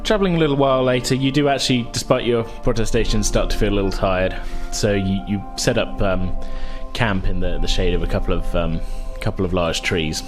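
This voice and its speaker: Unhurried game master over faint music. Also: male voice, fairly low pitch, fairly even intonation. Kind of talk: casual tabletop game narration.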